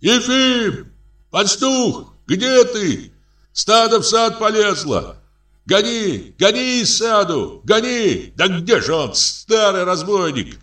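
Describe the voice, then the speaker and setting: Storybook villager, performed by a male reader: very high calling voice, angry, alarmed, hollering orders. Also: very animated, takes it slowly, fairly bright tone, far from the mic, exaggerated voice. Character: someone shouting angrily from the riverbank for a shepherd.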